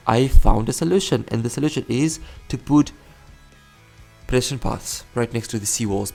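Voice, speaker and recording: A man's voice: on the low side, vocal fry, a little mellow. A young man giving casual, rambling commentary over a city-building game, correcting himself and chuckling often. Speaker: let's play host.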